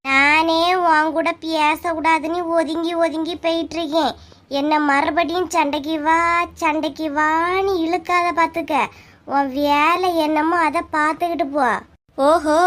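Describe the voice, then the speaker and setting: A woman's voice: very high voice, fairly even intonation, normal speed, fairly bright tone. A woman voicing a feisty, quarrelsome village woman in a cartoon comedy, scolding and sarcastic in a Kanyakumari Tamil accent. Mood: angry, sarcastic.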